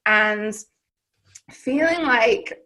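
A woman's voice: fairly high pitch, very slow, pushed and bright, rough recording. Reflective woman with a Southern English accent. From Wales. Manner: reflective, rambling, with frequent fillers and restarts. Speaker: podcast guest. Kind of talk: candid personal storytelling in conversation.

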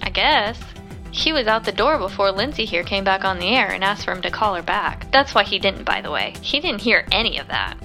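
An actress playing a friendly, chatty young diner waitress in an audio drama, with an informal, chirpy manner. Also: female voice, medium pitch, lively intonation, brisk, bright, forceful tone, faint music behind. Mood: chatty recounting. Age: young adult.

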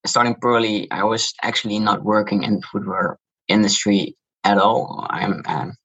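A man with a Dutch accent, founder of a shoe brand, giving measured, businesslike interview answers. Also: fairly low pitch, fairly even intonation, a bit bright, breathy edge, low-quality recording. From Netherlands.

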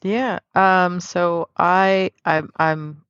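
A woman co-hosting a casual podcast, talking in a measured, hesitant way with pauses, on a slightly dull microphone. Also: on the low side, fairly even intonation, a bit bright, smooth, dry recording. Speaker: podcast host.

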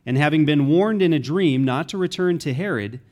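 A man's voice: on the high side, lively intonation, a little fast, a little mellow. A man reading scripture aloud in a plain voice, with a small slip now and then. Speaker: scripture reader.

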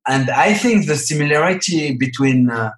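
A middle-aged man, speaking halting as an interview guest, often filling pauses with "you know" and "uh". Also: a little high, expressive, echoey room. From Morocco. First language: French. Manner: halting non-native English, frequent "you know" and "uh". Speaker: guest film director. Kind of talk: interview answers.

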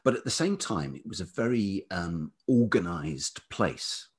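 A middle-aged man from Kent with a southern English accent and a velvety voice, telling personal stories thoughtfully as an interview guest, often pausing mid-sentence. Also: sits low, big pitch swings, slightly rough. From Kent, England. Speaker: podcast guest, writer and musician. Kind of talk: reflective personal storytelling in conversation.

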